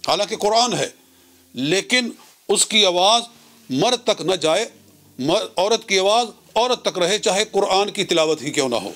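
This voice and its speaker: Male Islamic cleric, notably high register. Measured, didactic religious lecture delivery, phrase by phrase. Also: expressive, a bit bright. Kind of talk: religious lecture citing scripture.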